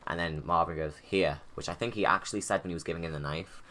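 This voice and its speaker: A man's voice: sits low, fast, pushed and bright. A man giving casual, informal livestream commentary, rambling and thinking aloud as he goes. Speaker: video creator giving commentary.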